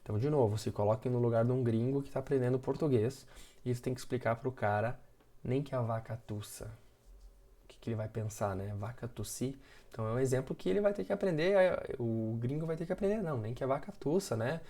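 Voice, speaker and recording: Guy teaching english basics on video, warm mellow voice, patient, keeps asking "ok?". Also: mid-range voice, fast talker. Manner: patient, explanatory, checks in often with short tag questions. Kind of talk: video lesson explaining grammar.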